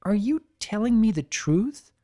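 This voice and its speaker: A man voicing a nervous, squeaking pony mare in a story reading. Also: sing-song, measured pace, warm tone.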